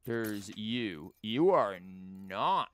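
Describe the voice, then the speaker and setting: A man's voice: fairly low pitch, sing-song, drawn-out words, fairly bright tone, no echo, studio quality. A man giving casual livestream commentary on a game, rambling informally and thinking aloud with false starts. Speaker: video game streamer.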